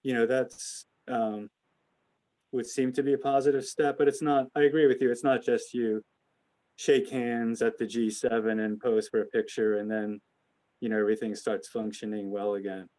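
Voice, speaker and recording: Man, relaxed mellow tone, mid pitch, conference call host, halting hedged phrasing, conversational. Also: rough recording. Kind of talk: unscripted conference call remarks.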